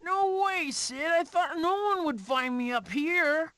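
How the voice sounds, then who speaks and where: A boastful little cartoon critter for a game. The voice is bright and swoops around in pitch, with drawn-out delivery and faint noise behind. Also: female voice, animated, full character voice. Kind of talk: animated video game voice clips.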